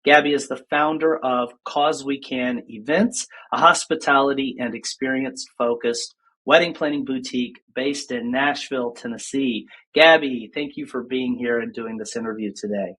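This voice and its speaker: A man's voice: medium pitch, steady tone, moderate pace, a bit bright, low-quality recording. A man hosting an interview podcast over a video call, upbeat and enthusiastic. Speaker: podcast host.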